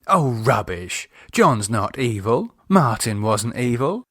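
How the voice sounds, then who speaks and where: A man's voice: mid-range voice, big pitch swings, slow, breathy edge, no room sound, clean studio sound, full character voice. Man voicing a breezy, teasing English woman. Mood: dismissive, teasing. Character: confident, teasing adult English woman, sister. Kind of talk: audiobook character acting.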